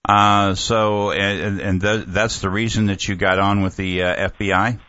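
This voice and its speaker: Low, forceful talk radio host man. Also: level tone, a little slow, no room sound. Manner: rambling, easygoing. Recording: dull lo-fi broadcast audio.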